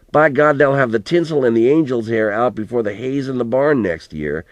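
A man's voice: fairly low pitch, a little mellow, no echo, lightly acted. A man reading a storybook as an elderly farmer grandfather, plain-spoken and folksy, telling rambling, earnest reminiscences with some mild swearing. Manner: colloquial rural storytelling, plain-spoken, mildly profane.

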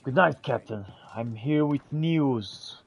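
A man streaming a game with casual in-character roleplay commentary, chatty and theatrical with a halting delivery. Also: medium pitch, creaky, slightly rough.

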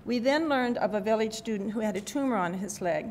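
Older woman reading a speech, rumbly background. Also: fairly quick. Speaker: keynote speaker. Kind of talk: prepared speech read from notes. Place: event hall at a podium. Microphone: podium microphone. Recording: rumbly background noise, podium microphone.

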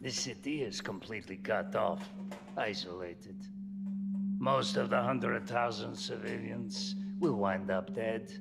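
An actor playing a weary, courteous middle-aged mercenary commander, speaking in a measured, formal, grave manner in a video game scene. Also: male voice, lowish voice, gravelly. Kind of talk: video game cutscene acting. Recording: long reverb, loud music behind.

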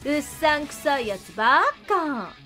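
A woman's voice: high-pitched, lively intonation, normal speed, bright, forceful tone, faint music behind. A woman playing a haughty, distrustful, secretive character in a voice drama, coy and disdainful. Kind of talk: Japanese voice drama acting.

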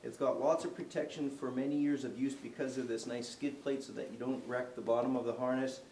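A man's voice: medium pitch, little pitch movement, fairly quick, warm tone. A man in his forties walks through a product's features in a casual, unscripted demonstration, with fillers and restarts as he moves about. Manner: moving around while demonstrating. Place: indoor showroom, medium-sized room. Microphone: camera mic from a distance. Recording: roomy echo, distant camera mic, faint hiss.

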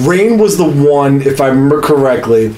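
A man's voice: mid-range voice, lively intonation, moderate pace, background noise. A man in his thirties chatting casually and insistently as a co-host, swearing freely and arguing his point. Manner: foul-mouthed, insistent, argumentative. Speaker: podcast co-host. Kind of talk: casual chat about video games. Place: small indoor home studio room. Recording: roomy, echoing small room.

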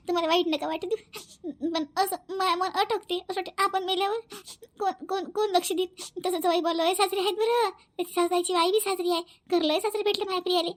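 A woman plays a doting middle-aged village mother in a family cartoon. Her voice is extremely high, tearful and plaintive, full of self-pity, with a Varhadi Marathi accent. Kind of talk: amateur animated family drama-comedy acting.

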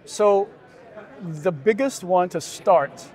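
Higher-pitched man, assured and explanatory. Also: slow pace, a little mellow. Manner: assured, agreeable, structured explanations. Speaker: startup founder being interviewed. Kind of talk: conversational product pitch. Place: busy indoor expo hall booth.

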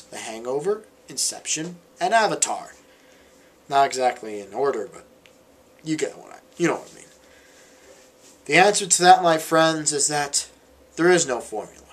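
A young man with a slightly high voice talks to a camera in his bedroom. He delivers a casual opinion piece in an emphatic, conversational way, like a vlogger making his case. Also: slight background noise. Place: small bedroom, indoors. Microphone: camera or webcam at arm's length.

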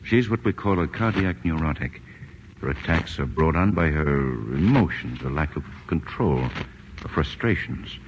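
An actor playing a calm, authoritative physician, measured and professional in 1940s radio style. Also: male voice, very deep voice. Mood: matter-of-fact. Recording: faintly noisy old radio recording.

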